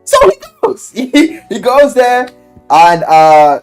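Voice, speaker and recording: A man telling a story casually in slang and swearing, as an animated video game reviewer who acts out what people say. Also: high voice, takes it slowly, clean tone, soft background music. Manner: animated storyteller, acting out quoted lines.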